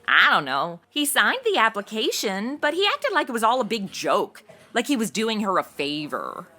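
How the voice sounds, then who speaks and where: A woman's voice: a little high, huge pitch swings, moderate pace, bright, forceful tone, exaggerated voice. A woman playing a bubbly, ditzy young insurance seller in a comedy audio drama, with flippant, slangy Valley-girl chatter. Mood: flippant, gossipy.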